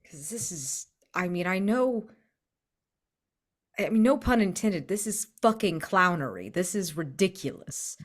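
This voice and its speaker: Slow, expressive woman playing a tabletop game. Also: fairly low pitch. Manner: exasperated, dry, trailing off. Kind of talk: in-character improvised dialogue.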